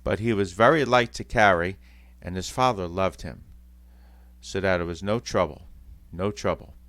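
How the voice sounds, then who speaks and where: A man voicing Mrs Cratchit, a gentle grieving mother who speaks softly and with restraint. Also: sits low, normal speed, no echo.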